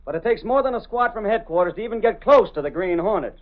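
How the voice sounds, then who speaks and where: A man's voice: sits high, expressive, quick pace, over music. An actor playing a keen, confident, wisecracking man in an old radio drama, speaking with slangy emphasis. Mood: confident, excited. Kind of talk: 1930s-40s radio drama acting. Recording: tinny old radio recording.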